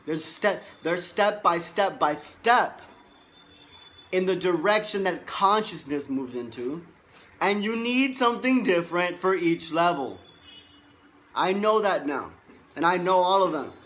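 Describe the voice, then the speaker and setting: A man's voice: sits high, a bit bright. A man in his twenties, a life coach giving a boastful, emphatic motivational monologue and repeating himself for stress. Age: 20s.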